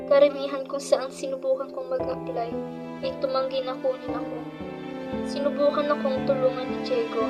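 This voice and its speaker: A woman's voice: high voice, highs cut off, lightly acted. A woman with a Filipino accent playing a troubled, orphaned teenage girl who narrates her life in a radio drama.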